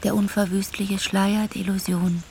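A woman's voice: medium pitch, little pitch movement, normal speed, darkish tone, slight background noise, close to an everyday voice. An actress playing an enigmatic woman who recites short poetic phrases in a radio play.

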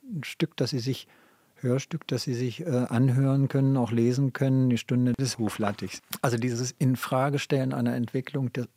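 Older man, gravelly and mellow. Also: mid-range voice, steady tone, no room sound. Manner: halting, searching, emphatic repetition. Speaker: literary scholar, interview guest. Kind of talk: reflective spoken interview answers.

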